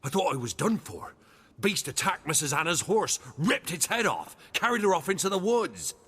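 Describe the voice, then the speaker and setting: An actor playing a humble, fearful peasant in a video game, earnest and deferential, telling things in rustic dialect. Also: male voice, high-pitched, sing-song, moderate pace, fairly bright tone, husky, heavily acted. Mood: haunted, awed. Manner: rustic dialect grammar, deferential, dramatic storytelling.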